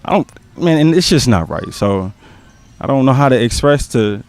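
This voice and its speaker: A man's voice: mid-range voice, animated, normal speed, darkish tone. A young man, a stage actor, chatting casually in an interview, laid-back and joking, dropping "man" into his sentences.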